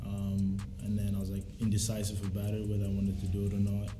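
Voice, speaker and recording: A man's voice: fairly low pitch, completely flat delivery, fast talker. A young man from South Sudan, chatting casually and reflectively in laid-back slang as a musician being interviewed. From South Sudan, raised in Australia. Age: young adult. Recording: roomy echo, faint music behind.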